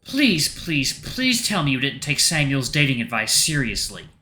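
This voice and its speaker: A man's voice: mid-range voice, big pitch swings, moderate pace, sharp tone, pitched above the performer's own voice. A man voicing a weary older informant who is dry, guarded and grudgingly protective. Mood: exasperated.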